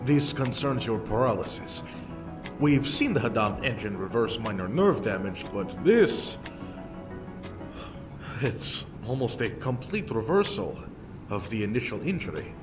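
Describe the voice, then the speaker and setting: An actor playing a learned military doctor in an audio drama, explaining in a formal, measured manner. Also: male voice, on the low side, big pitch swings, over music.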